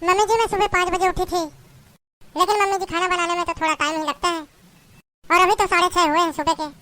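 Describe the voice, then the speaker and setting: A woman's voice: very high voice, steady tone, quick pace, sharp tone. A woman playing a young daughter-in-law who pleads with and defends herself against her mother-in-law in a family drama. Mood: pleading. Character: young married daughter-in-law, meek and put-upon.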